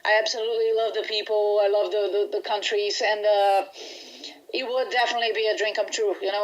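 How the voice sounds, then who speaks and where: A woman's voice: fairly high pitch, fairly bright tone, highs cut off. Chatty Brazilian woman in an interview. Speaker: band musician being interviewed. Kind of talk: casual interview chat.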